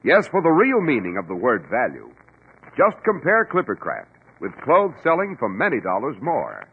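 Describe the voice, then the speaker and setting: A man's voice: mid-range voice, huge pitch swings, normal speed, narrow, tinny audio, slight background noise. An American man announcing and hosting in polished 1940s radio style, on a vintage radio recording.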